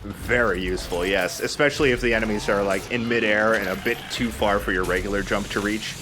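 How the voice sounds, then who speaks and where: A man's voice: lowish voice, fairly even intonation, fairly quick, noisy background. A man giving casual, chatty gameplay commentary, explaining things as he plays and swearing casually. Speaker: let's play host and player.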